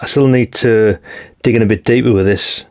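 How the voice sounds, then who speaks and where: A British man with a fairly low voice gives an off-the-cuff, hands-on commentary as a hobbyist host. He is chatty and informal, rambling a little as he thinks out loud. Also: steady tone, moderate pace. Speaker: hobbyist radio channel host. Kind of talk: casual hands-on teardown commentary.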